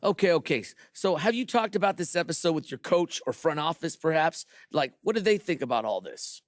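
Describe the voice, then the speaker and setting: An actor playing a polite but probing American sports interviewer in a video game, speaking in a halting, hesitant way. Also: male voice, fairly high pitch, sing-song, no room sound, studio quality.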